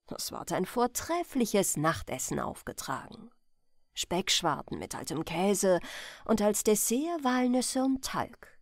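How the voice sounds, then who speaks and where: A woman reading a bedtime fairy tale as an expressive, gentle audiobook narrator. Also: mid-range voice, slow, dry recording, studio quality. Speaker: storyteller, audiobook reader.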